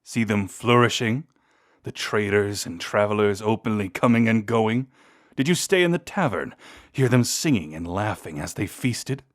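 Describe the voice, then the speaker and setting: A man voicing a proud, seductive vampire lord in a scripted audio roleplay, speaking in a theatrical, deliberate and intimate way. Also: on the low side, husky, heavily acted. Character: seductive, menacing vampire lord, proud and secretly tender toward lost love.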